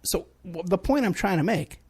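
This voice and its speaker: A man's voice: sits high, expressive, moderate pace, darkish tone, husky. A man from New City, hosting a talk radio show in a rambling, sarcastic monologue. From New York City. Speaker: radio show host, private investigator.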